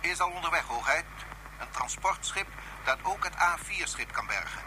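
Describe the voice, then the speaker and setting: An actor playing a respectful, methodical base radio operator in a radio drama. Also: male voice, a little high, animated, moderate pace, background noise, close to an everyday voice. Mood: reassuring, efficient. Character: deferential, efficient male base radio operator.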